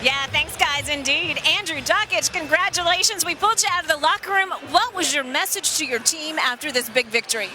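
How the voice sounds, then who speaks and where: A woman interviews courtside as a sideline reporter, her voice pitched very high. She fires off brisk, upbeat questions. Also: lively intonation, over music.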